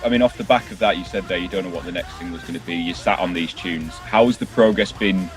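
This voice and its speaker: A man's voice: deep voice, fast talker. A man asking questions in a casual music interview, informal and tentative in his phrasing.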